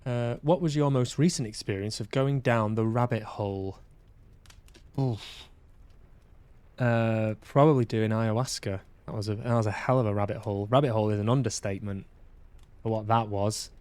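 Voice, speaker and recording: A man's voice: lowish voice, moderate pace, darkish tone, clean tone, no echo. A man hosting a live game stream, reading on-screen text aloud with casual asides. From England. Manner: casual asides between read-out passages. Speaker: game streamer and podcast host.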